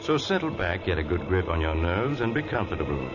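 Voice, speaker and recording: A man's voice: deep voice, very animated, a little fast. An actor playing a sinister male traveler who narrates eerie tales, with an unusual timbre and an urgent, teasing way of speaking in 1950s radio drama style. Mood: sinister invitation. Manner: urgent, teasing, ominous invitation. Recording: dull lo-fi 1950s radio recording. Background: organ music.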